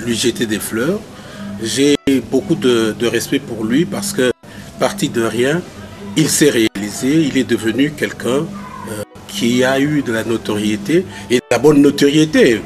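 Slow, measured Congolese teacher, older man. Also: mid-range voice. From Kinshasa, Congo. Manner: measured, with pauses and set phrases. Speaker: teacher giving a testimonial. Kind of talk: testimonial interview. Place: outdoors in a garden.

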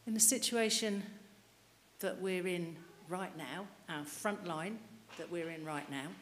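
A woman's voice: on the low side, measured pace. A middle-aged woman preaching a sermon at a church lectern mic, earnestly putting questions to the congregation. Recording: reverberant church hall, light hiss.

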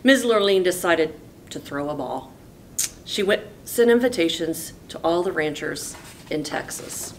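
A woman teacher reading a picture book aloud in a folksy, animated storytelling way. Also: measured pace. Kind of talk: expressive storybook read-aloud. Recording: noisy with low rumble.

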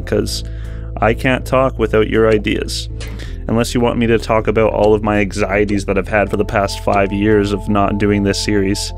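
A young man giving candid, rambling, self-deprecating commentary over old game footage, recorded at a desk mic in a small bedroom studio. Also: mid-range voice. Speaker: gaming channel host. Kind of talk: post-recorded gameplay commentary.

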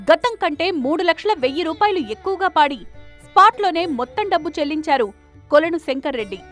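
A woman's voice: high-pitched, fairly bright tone. A woman reading scripted news narration as a reporter. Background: background music.

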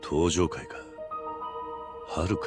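A man's voice: very deep voice, drawn-out words, over music, exaggerated voice. A male actor playing a stern, resolute middle-aged yakuza veteran in a video game, speaking in terse, grave, blunt phrases. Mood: grave, concerned. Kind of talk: dramatic video game acting.

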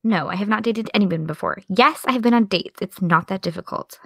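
A woman's voice: mid-range voice, brisk, gravelly, a bit bright, dry recording. A young actress playing an exasperated, defensive young woman with dry comebacks in an audio drama. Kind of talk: audio drama dialogue of a video call.

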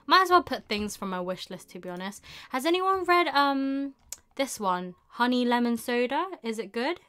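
A young woman hosting a casual live stream, thinking aloud in an unhurried way with murmured asides. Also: fairly high pitch, expressive, bright, forceful tone, clear, resonant tone, faint music behind. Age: young adult. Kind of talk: casual chat while shopping online. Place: small indoor room with bookshelves.

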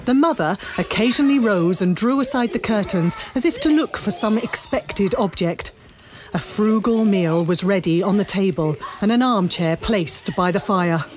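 A woman's voice: mid-range voice, expressive, normal speed, a little hiss or hum. A woman, narrating a story in a dramatic storybook style.

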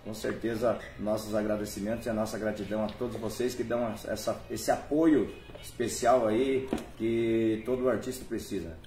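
A man hosting a live music stream, warmly thanking viewers by name in a casual, chatty way. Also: on the low side, steady tone, normal speed, hardly any pauses. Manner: warm, chatty, reads out viewer names and thanks them. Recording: echoey room from far off, faint noise.

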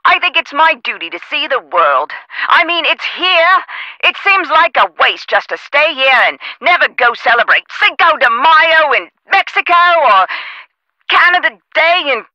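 A woman playing a chatty phone operator in a video game, with expressive customer-service delivery over a muffled line. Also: high voice, normal speed, no room sound. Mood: chatty, wistful. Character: perky, chatty castle staffing phone operator. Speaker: call centre operator.

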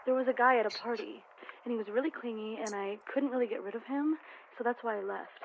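A woman's voice: a little high, steady tone, fast talker, dull audio, a little hiss or hum, lightly acted. A woman playing an uneasy, hesitant driver calling an emergency line in a video game. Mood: anxious. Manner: hesitant, nervous.

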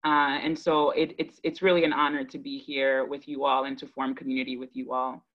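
A woman in her thirties, from the Dominican Republic and raised in Boston, telling her personal story to an online audience in an earnest, measured, thoughtful way. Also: rumbling low, level tone. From Dominican Republic, raised in Boston from age five. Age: 30s. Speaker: guest speaker, education advocate. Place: indoors on a video call. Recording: dull, lo-fi video call audio.

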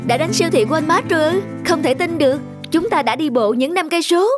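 Young student guy, high voice, eager n respectful, cartoon. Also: lively intonation, a little fast, music in the background. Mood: surprised, grateful. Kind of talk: animated moral-story dub.

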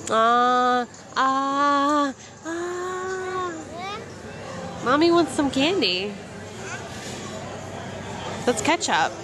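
A young woman filming her toddler at an airport gate, switching between high sing-song baby talk and calm asides to the camera. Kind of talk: casual home video narration. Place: busy airport gate area, indoors. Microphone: handheld camera mic. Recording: noisy handheld camera audio in an airport. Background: airport noise and announcements.

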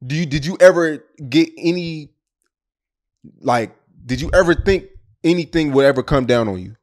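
A man's voice: a little high, lively intonation, normal speed, a little mellow. A man interviewing a guest, asking casual, slangy questions.

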